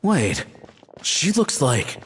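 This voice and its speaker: A man's voice: on the high side, huge pitch swings, extremely slow, fairly bright tone, slightly rough, big-room sound, exaggerated voice. A man playing an easygoing, bemused restaurant customer in an anime-style video game, casual and good-natured. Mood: reassuring.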